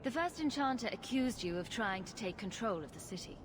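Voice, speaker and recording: A woman's voice: on the high side, lively intonation, fairly quick, noisy background, lightly acted. An actress playing a sarcastic female rogue hero in a fantasy game, speaking in a dry, direct way. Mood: challenging. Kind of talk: fantasy video game acting.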